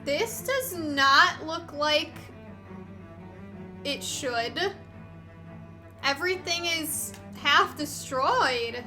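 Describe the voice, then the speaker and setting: A woman's voice: sits high, expressive, very slow, pauses often, sharp tone, faint music behind. A woman giving casual, informal, reactive gameplay commentary as the host of a Let's Play.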